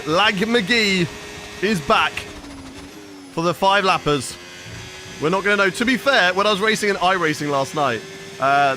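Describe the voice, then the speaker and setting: A man's voice: a little high, noisy background. A young man streaming a racing game, chatting with his viewers and answering their questions as he plays. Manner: chatty, reads and answers chat while playing. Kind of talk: live gaming stream chat.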